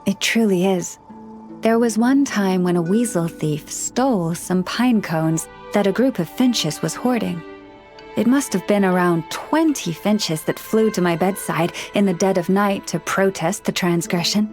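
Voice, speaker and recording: A woman voicing a gentle, earnest young woman who counsels animals in a video game, speaking in a measured, formal way. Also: medium pitch, close to an everyday voice. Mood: fond, patient. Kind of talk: video game character dialogue. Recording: studio voice over background music.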